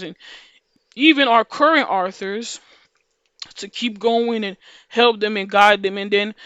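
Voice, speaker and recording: A woman with a deep voice for a woman, talking casually to her viewers in a rambling, slangy monologue that loops back on itself. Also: fairly bright tone, smooth, no echo. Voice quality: voice deeper than usual for a woman. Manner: rambling, slangy, repeats and restarts phrases. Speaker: video creator.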